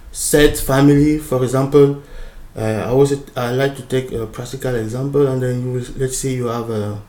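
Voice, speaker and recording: A man's voice: mid-range voice, darkish tone, roomy sound. A man from Cameroon giving an informal lecture, explaining a diagram with many fillers and restarts.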